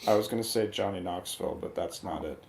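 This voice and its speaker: A man's voice: low voice, quick pace, gravelly, a little mellow. A man talking casually as a panelist in a movie review chat. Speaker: show panelist. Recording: noisy, echoey room sound.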